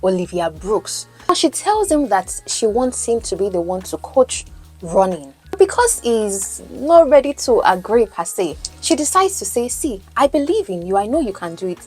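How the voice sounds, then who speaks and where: A woman's voice: medium pitch, very animated, moderate pace, husky, faint music behind. A Nigerian woman whose first language is Yoruba, teaching English as a coach and podcast host, explaining and asking the listener questions. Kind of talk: teaching lesson, mixing in Pidgin.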